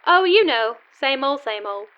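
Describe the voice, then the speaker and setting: A woman's voice: high-pitched, animated, normal speed. An actress playing an easygoing, dry-witted western diner woman in a comic radio sketch, folksy and matter-of-fact. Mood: unfazed, wry. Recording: slightly muffled recording.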